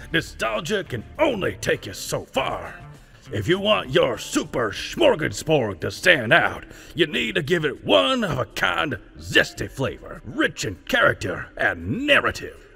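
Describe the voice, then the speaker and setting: Cartoon strongman: pompous, gravelly and forceful, huge swoops in pitch, reverberant. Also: exaggerated voice. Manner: pompous, grandiose, emphatic. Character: bombastic hulking wrestler who speaks in third person. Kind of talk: cartoon parody voice acting.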